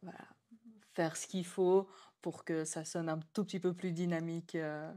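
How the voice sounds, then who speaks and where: A woman, a music producer guest, speaking gently with many hesitations. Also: on the low side, flat delivery. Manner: hesitant, many "euh" pauses, kind and gentle. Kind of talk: casual podcast conversation.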